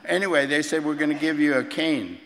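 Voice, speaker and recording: An eighty-year-old man giving personal testimony at a recovery meeting, halting and repeating himself with frequent chuckles. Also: a little high, big-room sound, very noisy. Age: 80s. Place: large meeting room with tables.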